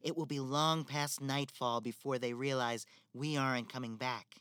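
A woman's voice: rumbling low, steady tone, moderate pace, a bit bright, clear, resonant tone, no room sound, studio quality. A woman playing a cold, manipulative stepmother in a spoken fairy-tale drama. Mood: scheming. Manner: manipulative, icy, theatrical.

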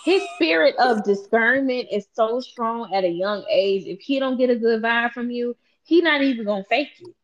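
Lively woman in her twenties, lo-fi. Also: on the high side, moderate pace, few pauses. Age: 20s. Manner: animated, playful teasing, talks to viewers directly. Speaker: host. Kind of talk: casual chat.

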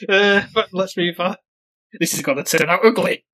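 A man co-hosting a podcast, animated and quick to laugh, swearing freely in casual chat. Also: high voice. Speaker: podcast co-host.

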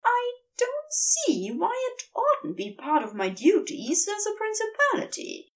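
A woman voicing a fussy, earnest male angel who speaks softly and hesitantly, prim and a little haughty. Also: very high-pitched, wildly swooping pitch, a little slow, pitched above the performer's own voice, full character voice. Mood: flustered. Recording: distant mic.

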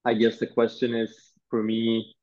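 A Swedish man in his thirties, a designer answering interview questions in a thoughtful, halting way, often repeating words. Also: mid-range voice, completely flat delivery, a little mellow, muffled recording. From Sweden.

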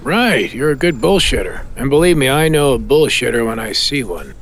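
A man's voice: mid-range voice, sing-song, moderate pace, gravelly, fairly bright tone, slight background noise. An actor playing the taunting, cryptic ghost of a dead man in an audio drama, mocking and insistent.

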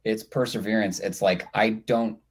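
A man's voice: lowish voice, steady tone, moderate pace, breathy edge. A man speaking casually as a writer on a panel, sharing personal experience. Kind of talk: casual anecdotal panel talk.

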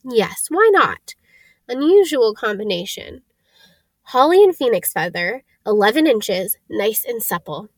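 A woman plays an old wandmaker from a storybook, a keen and slightly eerie man with a soft, high-set voice. He lists details in deliberate, clipped phrases and grows excitable. The sound is dry and close. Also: no room sound. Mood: eager, excited. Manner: deliberate, clipped listing of details, exclamatory greetings. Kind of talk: storybook character reading.

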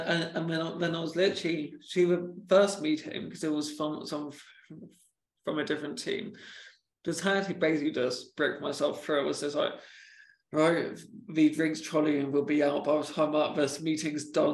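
Guy with a stammer, kinda gravelly, flat even delivery, roomy echo. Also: a little high. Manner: halting, repeats words, speaks of own stammer. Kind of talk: casual podcast chat.

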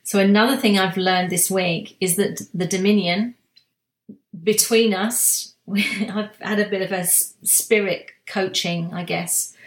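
A woman giving a slow, reflective spiritual talk, soft-spoken, with long pauses and restarts. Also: lowish voice, roomy sound. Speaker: spiritual guide sharing monthly energy reading.

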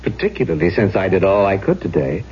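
A charming gentleman ghost in a 1970s radio drama, courtly and soothing. His voice is low with lively inflection. The recording sounds dull. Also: fast talker, breathy edge, full character voice. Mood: gentle, reassuring.

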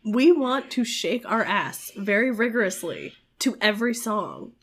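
A woman with a cold chats on her podcast, her voice stuffy and nasal. She talks in a frank, playful way, quick to laugh. Her pitch sits in the middle range. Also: animated, a bit bright, breathy edge. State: congested with a cold. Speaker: podcast co-host. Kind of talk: casual chat. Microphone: home recording mic.

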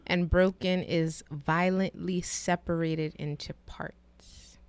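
Smooth-voiced young woman, reflective and casual. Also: fairly low pitch, slow. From United States. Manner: relaxed, reflective, many fillers. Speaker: podcast co-host. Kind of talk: casual conversation.

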